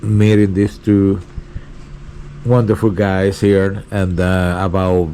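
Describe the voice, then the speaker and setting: A man's voice: low-pitched, little pitch movement, takes it slowly, warm tone, slight background noise, low-quality recording. A man interviewing guests in a friendly, rambling way with joking asides. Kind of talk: casual interview.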